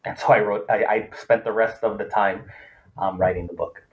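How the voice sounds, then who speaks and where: A man with a lowish voice talks through his research in an interview, thoughtful and unhurried in thought, pausing on fillers and restarting phrases as he works out each point. He grew up in Los Angeles, and Spanish was his first language. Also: little pitch movement. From Los Angeles, United States. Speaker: author, political scientist. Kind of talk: academic interview.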